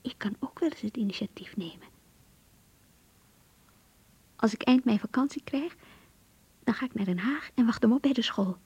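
Lovesick young diarist in a radio play, soft mellow voice, really breathy, anxious and earnest. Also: female voice, on the high side, normal speed, pauses often, no echo. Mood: yearning, determined. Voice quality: breathy, whispery. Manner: intimate, hushed, confiding. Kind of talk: radio drama diary narration.

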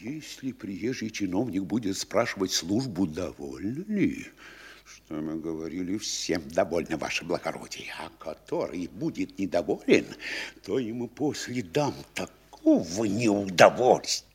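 An actor playing a fretful, scheming middle-aged provincial mayor, muttering to himself and bursting into shouts. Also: male voice, low voice, big pitch swings, unhurried, slightly rough, exaggerated voice. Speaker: actor in a radio play. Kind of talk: classic stage radio acting.

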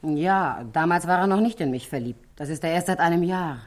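An actress playing a brash, indignant widow who is quick to scold, in a theatrical 1960s German radio play style. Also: female voice, on the low side, natural voice. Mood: guarded.

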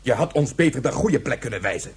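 A man's voice: mid-range voice, expressive, fast talker, slightly rough. A man playing a curt, domineering colonel in a science fiction radio drama, barking clipped orders. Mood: stern, demanding. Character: curt, domineering military colonel in space invasion.

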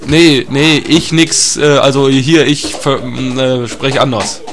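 A man's voice: mid-range voice, steady tone, slow pace, noisy background. A man playing a naive, chatty tourist in an improvised absurd audio comedy, hesitant and repetitive, often tacking on tag questions. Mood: polite, puzzled. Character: chatty, naive male tourist, placidly captured.

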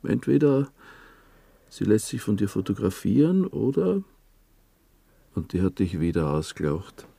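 An actor playing a menacing, taunting police inspector in a radio drama, speaking with an Austrian accent in an insinuating, domineering way. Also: male voice, on the low side, sing-song, unhurried, vocal fry, long pauses, mellow, breathy edge, no room sound, full character voice. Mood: domineering, accusing.

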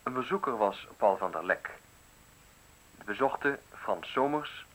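A man reading the closing credits of a radio play as a scripted, measured announcement. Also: lowish voice, animated, fairly bright tone, rough recording.

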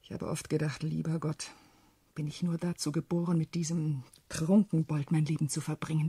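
Worldly widow, husky and mellow. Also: female voice, low voice, full character voice. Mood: aggrieved. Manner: deliberate, expressive, philosophizing. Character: shrewd, self-possessed widowed sister, worldly, calculating and proud. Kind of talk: German radio play acting.

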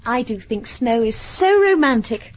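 A high, eager young daughter. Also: female voice, sing-song, unhurried. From South Africa. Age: young adult. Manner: breathless girlish enthusiasm.

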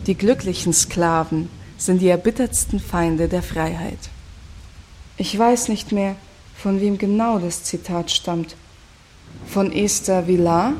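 An actress playing a betrayed, bitter female commune dropout in a radio play, speaking in a reflective, wry, measured way. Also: on the low side, a little mellow, a little hiss or hum. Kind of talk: radio play dialogue and monologue.

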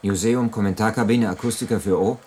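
A man's voice: on the low side, fairly even intonation, brisk, darkish tone, soft background music, close to an everyday voice. An actor playing a matter-of-fact, pedantic male acoustician in a science-fiction radio drama. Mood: analytical. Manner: technical, precise.

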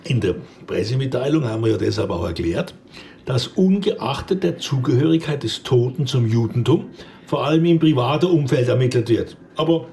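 An actor playing a suspicious, stubborn small-town police investigator with a southwest German accent from Baden, pointed and probing in a radio drama. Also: male voice, medium pitch, normal speed, gravelly, darkish tone, a little hiss or hum, close to an everyday voice. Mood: matter-of-fact.

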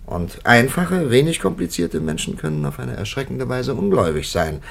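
Creaky-voiced, thoughtful senior prelate. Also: male voice, mid-range voice, sing-song, exaggerated voice. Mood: hesitant, reflective. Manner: halting, deliberate, reflective. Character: thoughtful, hesitant male senior prelate, grave and philosophical. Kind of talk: 1950s German radio play acting.

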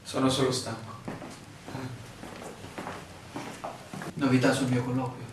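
A man's voice: medium pitch, flat delivery, fast talker, close to an everyday voice. Male actor as weary outsider, questioning, frustrated, radio drama, distant, noisy echoing room. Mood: resigned. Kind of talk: theatrical radio drama acting.

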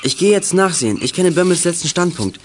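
A bold teen boy, highish voice. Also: animated, brisk, noisy background. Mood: decisive. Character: bold, take-charge teenage boy detective. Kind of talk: 1980s German children's radio play.

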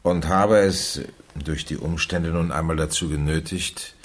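An actor playing an authoritative, persuasive investigator in a radio crime drama, husky, deliberate and emphatic. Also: male voice, very deep voice, steady tone. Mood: kindly probing. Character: authoritative, probing male investigator, measured and persuasive. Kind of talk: 1970s German radio crime drama acting.

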